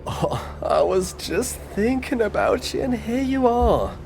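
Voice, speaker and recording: A man's voice: very high-pitched, animated, takes it slowly, vocal fry, a little mellow, slight background noise, exaggerated voice. An actor playing a cocky, teasing male detective in an audio roleplay drama, with sardonic, theatrical banter. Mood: mocking. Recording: music behind the voice.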